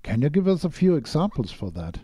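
A man's voice: a little high, sing-song, a little fast, dark tone, breathy edge, no echo, studio quality. A man working as a podcast interviewer, speaking in a prepared, scripted style.

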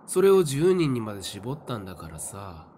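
A man's voice: lowish voice, sing-song, normal speed, mellow, a little hiss or hum. A man acting a cynical young seer in an audio drama, nonchalant, flippant and coolly taunting. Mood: mocking.

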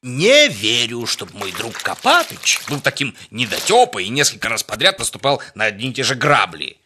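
Theatrical, wildly swooping cartoon animal. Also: male voice, medium pitch, huge pitch swings, bright, forceful tone, slight background noise, exaggerated voice. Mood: theatrical lecturing. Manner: halting, repeats and stretches words dramatically. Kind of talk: children's cartoon voice acting.

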